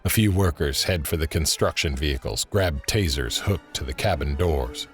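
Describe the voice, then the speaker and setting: A man narrating scene directions in a scripted audio drama, grave and measured. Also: very deep voice, soft background music. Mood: measured, suspenseful.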